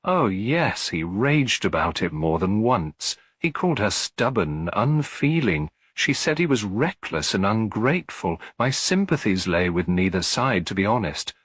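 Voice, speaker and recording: An unhappy younger woman in a detective story confides hesitantly, voiced by a male reader. The voice is subdued and low, slightly husky, with lively movement in pitch and a dry sound. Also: a little slow, hardly any pauses, dry recording, exaggerated voice. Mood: bitter, resigned. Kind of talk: detective story character reading.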